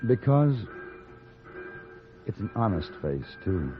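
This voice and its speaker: A man's voice: fairly low pitch, big pitch swings, slow pace, over music. An American actor playing an assured, wry, principled hired gunman in an old western radio drama. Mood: warm, wry. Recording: muffled old radio recording, crowd noise.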